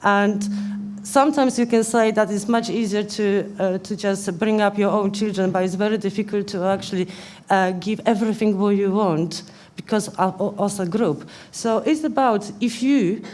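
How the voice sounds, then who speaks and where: A woman from Poland with a Polish accent answers questions as a panellist at a public debate, in earnest, halting phrases. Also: medium pitch, steady tone, a little mellow. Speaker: political panellist. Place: live public question-time event indoors.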